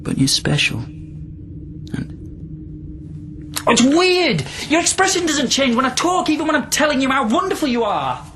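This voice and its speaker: A man's voice: extremely high pitch, sing-song, measured pace, long pauses, a bit bright, a little hiss or hum, heavily acted. A man acting in a radio drama as a volatile captive from centuries past, probing and rambling, swinging between tender and menacing. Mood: coaxing, awkward.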